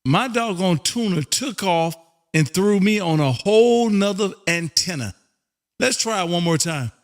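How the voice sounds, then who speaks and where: A high-voiced American man works the ham radio as host. He is loud and chummy, talks in a folksy, casual way and peppers his sentences with "man". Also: animated, measured pace, soft background music. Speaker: amateur radio operator and livestream host.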